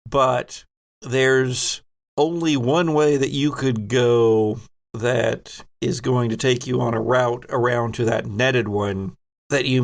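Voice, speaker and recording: A man hosts a tabletop horror role-playing game as its game master. His mid-range voice has a heavy creak to it. He switches between describing scenes and handling rules with players. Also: mid-range voice.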